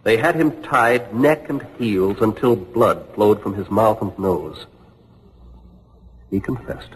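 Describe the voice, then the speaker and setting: An actor playing a grave, dignified imprisoned navy captain, formal and bitterly restrained, in an old radio drama. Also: male voice, fairly low pitch, takes it slowly, big-room sound, a little hiss or hum, low-quality recording. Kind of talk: 1940s radio acting.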